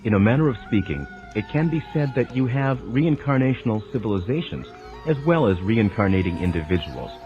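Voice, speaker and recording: Deep-voiced man narrating solemn scripted text. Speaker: narrator reading a spiritual text. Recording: dull lo-fi sound with music underneath. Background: background music.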